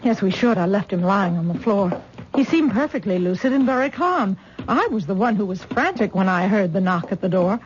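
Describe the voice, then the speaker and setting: A woman's voice: medium pitch, lively intonation, fairly quick, a little hiss or hum, close to an everyday voice. An actress playing a worried middle-aged mother who coaxes and frets over her grown daughter, in a 1970s radio drama. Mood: anxious. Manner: fretful, coaxing. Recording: dull old radio broadcast recording.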